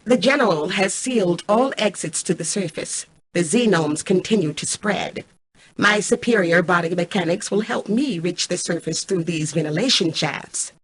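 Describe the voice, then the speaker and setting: A woman voicing a cold, arrogant mainframe intelligence in a video game, speaking in a formal, measured, commanding way. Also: on the low side, breathy edge. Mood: calm, superior. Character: cold, arrogant female mainframe artificial intelligence, calculating and imperious. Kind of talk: scripted video game voice acting.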